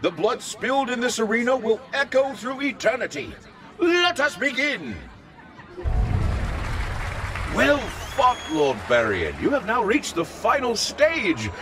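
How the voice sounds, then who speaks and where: A man playing a pompous, theatrical lord in a medieval fantasy game, with grandiose, drawn-out, florid delivery. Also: very high-pitched, big pitch swings, crowd noise, noisy background, full character voice. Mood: grand, proclaiming. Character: pompous, theatrical powerful lord and tournament host.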